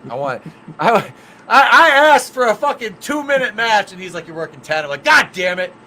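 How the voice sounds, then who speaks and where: Bright, high-ish man, wrestling podcast co-host, swears freely in rambling stories; faint noise behind. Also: sing-song, brisk. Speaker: podcast co-host and ring announcer. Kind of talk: casual profane chat.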